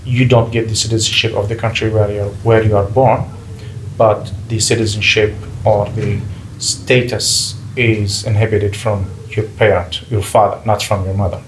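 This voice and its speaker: Low-voiced man, measured and careful. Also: flat delivery, rough recording. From Palestinian refugee, grew up in Gulf region. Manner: measured, pauses and restarts while choosing words. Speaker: guest. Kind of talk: interview, personal account. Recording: faint background noise.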